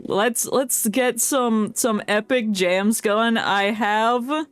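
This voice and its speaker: Slow-paced, playful woman streaming a game. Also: fairly high pitch, clear, resonant tone, no echo, soft background music. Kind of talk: casual livestream gameplay chat.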